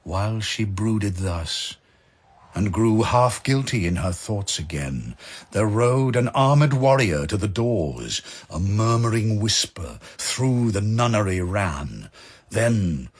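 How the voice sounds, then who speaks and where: A man narrating a dramatic verse tale in a husky voice, as scripted storytelling. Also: fairly low pitch, sing-song, takes it slowly. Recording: music behind the voice. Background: music.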